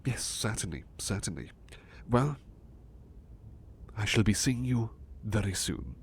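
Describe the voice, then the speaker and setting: A man playing an eager, courteous butler in an ASMR roleplay, speaking formally and politely with flustered, excitable stammering. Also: fairly low pitch, slow pace, slight background noise, heavily acted. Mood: nervous, courteous. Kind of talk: ASMR roleplay acting, personal attention.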